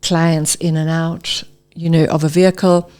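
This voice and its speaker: A woman, speaking as an interview guest and safari guide, telling stories lively enthusiasm. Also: low-pitched, unhurried, clear, resonant tone. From Tanzania. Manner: enthusiastic, animated storytelling. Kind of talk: casual interview talk.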